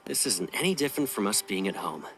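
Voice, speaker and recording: A man's voice: on the low side, very animated, quick pace, noisy background. An actor playing a confident, affectionate young male pilot in a video game, with a playful, teasing manner. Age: young adult. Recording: game audio with music behind. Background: music.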